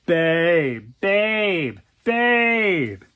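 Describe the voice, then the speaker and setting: A woman's voice: lowish voice, big pitch swings, extremely slow, fairly bright tone, smooth, heavily acted. A woman storyteller voicing an evasive boyfriend who speaks in a hesitant, placating, repetitive way. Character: evasive, stammering boyfriend, placating then petulant.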